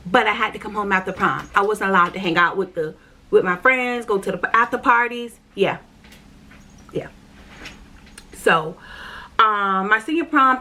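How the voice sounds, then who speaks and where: Bright-voiced woman telling her story. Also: medium pitch. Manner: rambling, repeats herself, frequent fillers; candid, talks straight to viewers. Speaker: vlogger sharing her spiritual journey. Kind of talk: casual personal storytelling.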